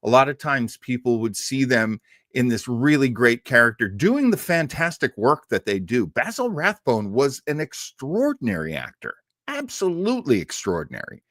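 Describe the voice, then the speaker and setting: A man hosting a podcast, talking informally and haltingly to his audience in a chatty, self-deprecating way. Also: mid-range voice, wildly swooping pitch, a bit bright, no echo, clean studio sound. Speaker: host of an old-time radio podcast. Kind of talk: informal hosted monologue to a live stream audience.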